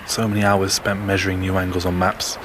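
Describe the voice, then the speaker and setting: Grim low-voiced veteran soldier, loud battle din actor. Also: male voice, monotone, fairly quick, lightly acted. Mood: steady, grim. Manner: colloquial, unschooled grammar. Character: seasoned working-class British WWI soldier, grim and steadfast. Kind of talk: audio drama monologue. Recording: loud battlefield noise over the voice. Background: loud outdoor war ambience.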